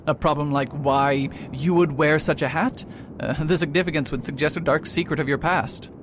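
Radio drama: proud old retired detective , male actor, aloof, halting delivery, slightly high voice, light background noise. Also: fairly even intonation, a bit bright, close to an everyday voice. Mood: bemused, skeptical. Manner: hesitant, pompous. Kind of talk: amateur radio play acting. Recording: faint rumbling background noise.

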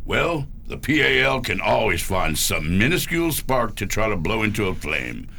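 A man's voice: fairly low pitch, big pitch swings, normal speed, vocal fry, pushed and bright, slight background noise, full character voice. A man playing a dismissive space exploration bureau chief in a science fiction radio drama, emphatic and official.

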